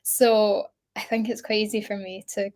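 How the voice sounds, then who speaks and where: A young Scottish actress chatting in an interview, bubbly and animated, laughing often as she tells stories. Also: mid-range voice, normal speed. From Scotland. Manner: bubbly, giggly, animated storytelling. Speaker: actress guest. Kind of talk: casual interview chat.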